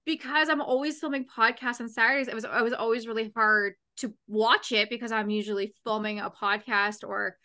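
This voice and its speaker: A woman hosting a casual podcast chat, bubbly and quick to laugh. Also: on the high side, fairly even intonation, sharp tone. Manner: bubbly, laughs often, talks over others. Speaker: podcast host.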